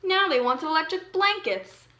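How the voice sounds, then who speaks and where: A woman's voice: very high voice, animated, moderate pace, pushed and bright. A woman reading a children's storybook aloud expressively, as if talking directly to young listeners. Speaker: storybook reader. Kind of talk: children's read-aloud narration. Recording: echoey room.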